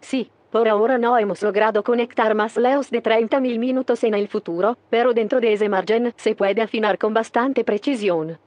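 A woman's voice: a little high, fairly even intonation, normal speed, hardly any pauses, darkish tone, no echo, natural voice. A woman playing an assured physicist in an audio drama, explaining in a matter-of-fact, technical way. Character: Daniela, assured female time physicist. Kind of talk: Spanish audio fiction acting.

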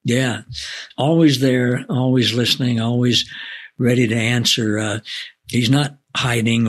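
Husky-voiced man hosting a faith show. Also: medium pitch. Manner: folksy, drawn-out, warm asides. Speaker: host.

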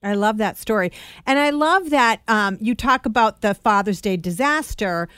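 A woman's voice: mid-range voice, expressive, normal speed, a bit bright, clear, resonant tone, no room sound, clean studio sound. A woman hosting a radio interview programme, introducing and questioning in a presenter's style. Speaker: radio show host.

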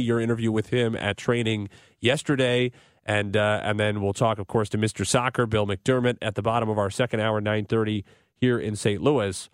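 A man hosts a sports radio show from a close, dry microphone. His voice sits at a medium pitch. He lays out his soccer analysis in a measured, articulate way. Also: no room sound.

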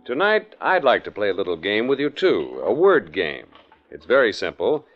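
A male announcer with a smooth, salesy old-time delivery, his pitch rising and falling with lively inflection. Faint music sits behind him, and the whole thing sounds dull and muffled like a 1940s broadcast. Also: normal speed. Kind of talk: radio announcing.

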